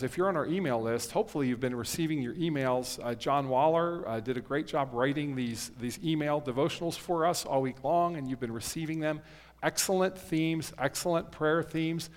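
A man in his fifties, a pastor, speaking to his congregation in a conversational, earnest way with frequent fillers and restarts. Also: mid-range voice. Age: 50s. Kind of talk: sermon. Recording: long hall reverb.

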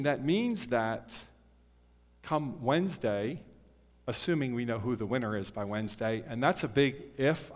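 A man in his fifties, a pastor, speaking to his congregation in a conversational, earnest way with frequent fillers and restarts. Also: mid-range voice. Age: 50s. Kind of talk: sermon. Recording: long hall reverb.

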